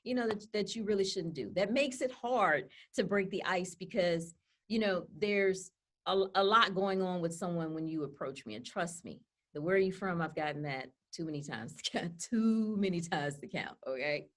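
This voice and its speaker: A woman's voice: on the low side, moderate pace. A woman co-hosting a casual webinar presentation from home, talking freely with many "you know" and "okay?" asides. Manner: chatty, full of fillers like "you know" and "okay?". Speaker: co-host and presenter. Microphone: laptop webcam on a video call.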